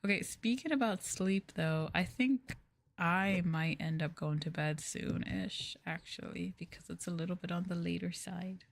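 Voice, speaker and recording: A soft-spoken woman streamer chatting casually on an ASMR livestream in an unhurried, hesitant way, close to the microphone. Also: fairly low pitch, vocal fry. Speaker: VTuber streamer, host.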